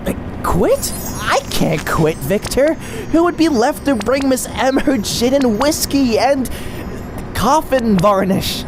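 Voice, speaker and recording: A man voicing a skinny, excitable young cartoon bootlegger who talks in a theatrical, wordy, rambling way. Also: very high-pitched, slow, full character voice. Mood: nervous, pleading. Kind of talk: animated comic dub acting. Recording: faint background noise.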